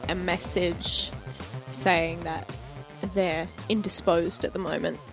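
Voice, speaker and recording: A woman's voice: on the low side, little pitch movement, slow, creaky, over music. A woman playing a tabletop game, casually narrating her character's actions in the third person.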